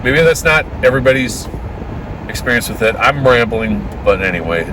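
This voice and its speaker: An earnest man talks casually from inside a moving car, thinking aloud and checking in with his viewers. His voice sits at a middle pitch. Heavy road and engine noise runs under every word. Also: lively intonation, normal speed. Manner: earnest, thinking aloud with many "right?" tags. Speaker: ham radio vlogger. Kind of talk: rambling casual vlog monologue. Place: in a car, heading home from a trip. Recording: loud car noise behind the voice.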